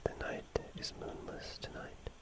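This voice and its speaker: An ASMR host who speaks in a soft whisper at a very slow pace. The delivery is teasing and whimsical, as if sharing a playful secret with the listener in a spooky bedtime roleplay.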